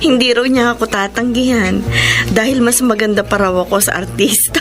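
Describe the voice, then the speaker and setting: A cheerful young bride-to-be, played by a woman in a classic radio drama, her voice fairly high and light. Music plays clearly underneath the scene. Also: fairly even intonation, fairly quick, lightly acted. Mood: teasing.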